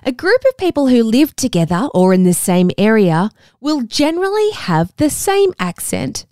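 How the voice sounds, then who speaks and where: Australian woman, kids' podcast host, bouncy and expressive pitch, bone-dry studio sound, speaks right to the children. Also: moderate pace, dry recording. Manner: talks directly to young listeners, upbeat and encouraging. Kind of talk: scripted kids' show presenting.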